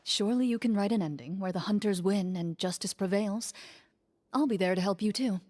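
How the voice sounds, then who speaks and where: A woman playing a composed, noble veteran monster hunter in a fantasy game, speaking in a calm, measured, firm way. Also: medium pitch, lightly acted. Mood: composed, reassuring. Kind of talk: scripted fantasy game dialogue. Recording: music playing under the voice.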